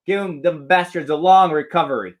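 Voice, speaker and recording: A man's voice: a little high, brisk, lightly acted. A man acting a brutal, foul-mouthed riot policeman who talks in a crude, jeering, menacing way. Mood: cruel relish. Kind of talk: dramatic acting.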